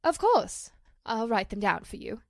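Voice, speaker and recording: A polite, dramatic star actress from an old-time radio play, acted by a woman. Her voice is high and lilting across a wide range, with noticeable creak. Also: very animated, unhurried, a little mellow, no echo, studio quality, full character voice. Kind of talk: 1940s radio drama acting.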